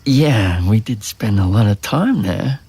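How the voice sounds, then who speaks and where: Unhurried, easygoing young Australian man. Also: lowish voice, lively intonation, crowd noise. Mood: flustered, bashful. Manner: casual, halting, self-deprecating. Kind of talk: naturalistic audio drama dialogue.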